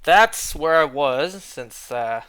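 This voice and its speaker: A young man streaming a game, chatting casually and rambling, clearing his throat often as he is losing his voice. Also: a little high, lively intonation, slow pace, a bit bright.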